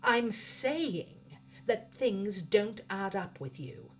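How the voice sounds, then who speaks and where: Woman playing a tough sci-fi ship captain, sarcastic n grilling, lively delivery, kinda dull audio. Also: medium pitch, drawn-out words, a little hiss or hum, exaggerated voice. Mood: suspicious. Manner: stern, sarcastic, probing. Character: hard-nosed, suspicious female spaceship captain interrogating a crewman. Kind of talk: science fiction audio drama acting.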